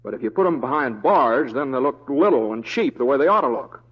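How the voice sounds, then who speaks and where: Mild, drawling young Western deputy. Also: male voice, mid-range voice, expressive, brisk, breathy edge. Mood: earnest. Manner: folksy, hesitant, stammering drawl. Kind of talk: 1930s-40s radio acting. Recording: muffled old radio broadcast.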